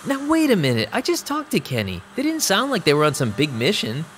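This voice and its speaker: A man's voice: fairly high pitch, wildly swooping pitch, a little fast, fairly bright tone, slight background noise. A man playing a cocky but anxious young vampire DJ, telling his story in slangy audio drama style. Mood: flustered, conceding. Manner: slangy, streetwise. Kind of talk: first-person audio drama narration.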